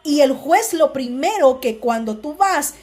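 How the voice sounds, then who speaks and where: A woman's voice: sits high, animated, a little slow, a little mellow, faint music behind. A Honduran woman with a Honduran Spanish accent gives a casual devotional talk, speaking conversationally with frequent restarts and tag questions.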